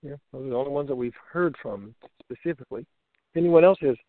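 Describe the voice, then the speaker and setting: A man's voice: medium pitch, fast talker, no room sound. Male call co-host, mid-range voice, casually moderating questions on a dry, lo-fi phone line. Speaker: co-host reading out listener questions. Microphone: phone conference line. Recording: dull lo-fi conference call line.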